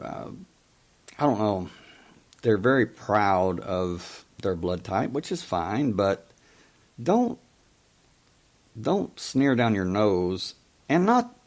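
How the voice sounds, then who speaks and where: A man in his fifties who stutters and stammers, hosting a call-in show in a casual monologue, often pausing to search for words. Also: lowish voice, a little mellow. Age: 50s. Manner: many pauses and hesitations.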